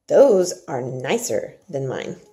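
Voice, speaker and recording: A woman's voice: extremely low pitch, big pitch swings, dark tone, voice pushed down, full character voice. A woman voicing a cold, spoilt little girl in a storybook, speaking curtly and haughtily. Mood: cool disdain. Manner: slow, cool, curt.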